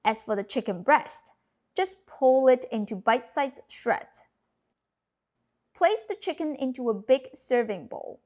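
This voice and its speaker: Higher-voiced woman teaching a recipe. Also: expressive, measured pace, darkish tone. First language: Cantonese. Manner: clear step-by-step instructional delivery. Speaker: cooking channel host. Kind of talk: scripted cooking narration. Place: home kitchen.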